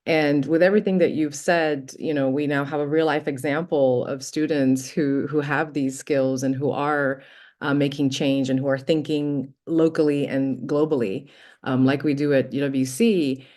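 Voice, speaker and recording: A woman's voice: deep voice, darkish tone. A woman moderating a panel, thanking speakers and introducing guests in an appreciative, slightly hesitant way.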